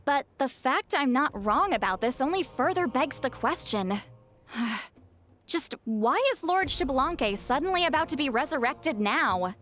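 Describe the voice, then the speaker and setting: A woman's voice: high voice, lively intonation, unhurried. An actress playing a young woman shaman who speaks earnestly and worries easily, in a video game. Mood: worried. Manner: worried, earnest, a touch formal. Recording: game audio over soft music.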